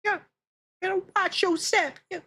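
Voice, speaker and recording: A woman's voice: very high-pitched, steady tone, unhurried, fairly bright tone. A woman streamer chatting casually while she draws, with a teasing, playful way of talking. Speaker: VTuber streamer. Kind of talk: casual livestream chat while drawing.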